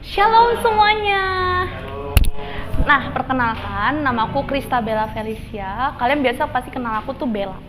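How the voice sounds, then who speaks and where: A woman's voice: high voice, expressive, moderate pace, over music. A woman in her twenties, a church live-streaming volunteer, talks about her role in a casual, chatty way. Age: 20s. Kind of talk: casual testimony interview. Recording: clear background hum.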